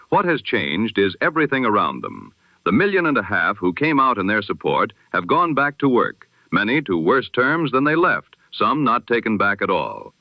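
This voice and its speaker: Male announcer for a vintage 1920s-style radio bulletin: formal and solemn, lowish voice, dull lo-fi sound. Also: dry recording. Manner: formal, measured, solemn delivery. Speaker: news announcer of an audio history broadcast.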